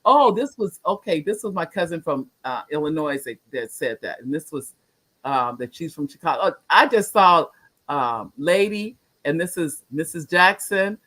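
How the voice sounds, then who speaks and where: A woman's voice: low-pitched, lively intonation, normal speed, a bit bright, breathy edge, low-quality recording. A woman hosting a talk show, praising her guest effusively and talking in loose, rambling restarts. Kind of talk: casual interview chat.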